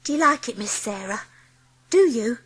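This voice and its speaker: A woman's voice: fairly high pitch, animated, moderate pace, fairly bright tone, breathy edge, low-quality recording. A woman playing a timid, eager young servant girl with a working-class English accent, deferential and earnest, in a dramatised audiobook. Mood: humble, grateful. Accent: English working-class, Cockney-style.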